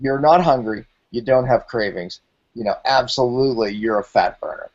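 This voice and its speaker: A man's voice: medium pitch, normal speed, a little mellow, muffled recording. A man in his forties, hosting a health talk show and explaining things emphatically, with lots of repeated phrases. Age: 40s.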